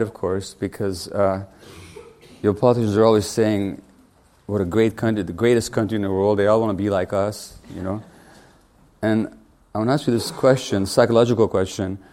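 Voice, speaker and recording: A man in his sixties from Hungary gives a public lecture, his voice low-set and mellow. He talks in a wry, conversational way, often turning to the audience with questions. His delivery is that of a physician speaking plainly to a crowd.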